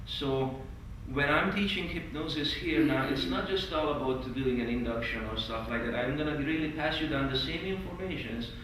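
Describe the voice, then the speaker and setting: A man's voice: medium pitch, moderate pace, few pauses, slight background noise. Hungarian-accented man in an echoey hotel hall. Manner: earnest, non-native phrasing, lively emphasis. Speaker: stage hypnosis instructor. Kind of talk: teaching a course to a group. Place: large hotel meeting room. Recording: echoey hall recorded from far off.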